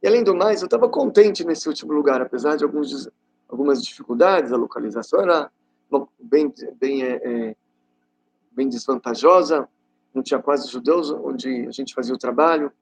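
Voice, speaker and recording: A middle-aged man from Rio de Janeiro gives an informal religious talk as a rabbi, telling stories in a conversational, halting way with rhetorical questions. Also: mid-range voice, slightly rough, rough recording. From Rio de Janeiro, Brazil. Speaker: rabbi giving a class.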